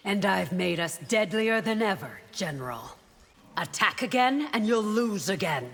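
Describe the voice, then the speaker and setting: An actress voicing a fierce, devout and loyal warrior bodyguard in a fighting game, speaking formally, proud and defiant. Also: female voice, mid-range voice, expressive, measured pace, fairly bright tone. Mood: defiant, taunting. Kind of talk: video game fight intro dialogue. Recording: reverberant hall, noisy game backdrop.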